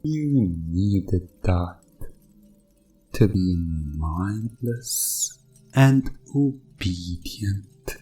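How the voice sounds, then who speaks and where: A man's voice: sits low, warm tone, heavily acted. A man playing a sinister doctor in a hypnosis ASMR roleplay, soothing and commanding his test subject. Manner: slow, commanding, soothing, deliberate countdown-style delivery.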